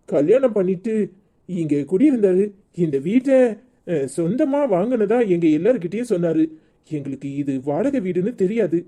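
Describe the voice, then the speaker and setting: A man's voice: sits high, warm tone. A man playing a troubled, apologetic family man, worried and deferential, in a cartoon village drama.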